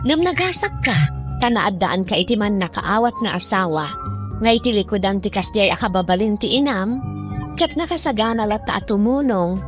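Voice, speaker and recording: A woman's voice: medium pitch, lightly acted. A woman with a Filipino accent playing an earnest, caring daughter narrating her family story in a radio drama. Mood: consoling, hopeful. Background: clear music.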